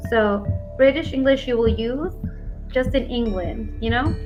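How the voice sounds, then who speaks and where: A woman's voice: a little high, a little slow. A woman with a neutral American accent tutoring English over a video call, talking frankly and often saying "like". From United States. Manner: frank, often uses "like" as a filler. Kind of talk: casual one-on-one lesson chat. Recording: dull lo-fi call audio with faint background music.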